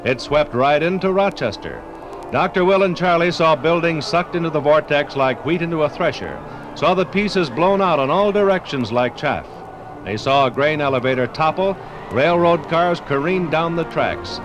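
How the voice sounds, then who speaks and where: Dramatic American man narrating, slightly high-pitched. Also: lively intonation, normal speed, lo-fi sound, over music. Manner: dramatic, literary storytelling. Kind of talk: scripted 1950s radio documentary narration.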